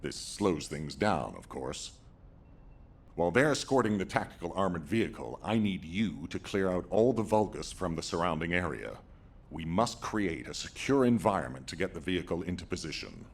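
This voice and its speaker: An actor playing a grave, resolute military commander in a video game, with a gravelly, deliberate, authoritative delivery. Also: male voice, sits low, big pitch swings, heavily acted. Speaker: military commander giving mission briefings.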